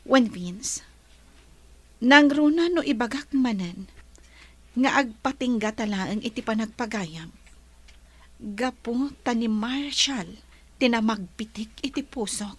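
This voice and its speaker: A woman playing a gentle, earnest young woman in an Ilocano radio drama, pleading softly with an Ilocano accent and breathy voice. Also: a little high, expressive, takes it slowly, pauses often, dry recording. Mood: apologetic, pleading.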